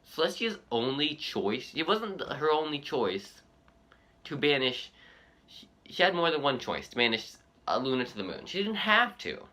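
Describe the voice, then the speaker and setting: Bright, animated man rambling casually. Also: fairly high pitch. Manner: halting, rambling, repeats himself. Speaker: reaction video host. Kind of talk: casual unscripted fan commentary.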